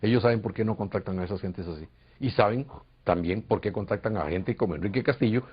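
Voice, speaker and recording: Husky low-voiced Costa Rican man. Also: fast talker. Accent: Costa Rican Spanish. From Costa Rica. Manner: earnest, rambling storyteller with hesitations. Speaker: interviewee, UFO contactee. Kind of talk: recorded interview. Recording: dull lo-fi recording.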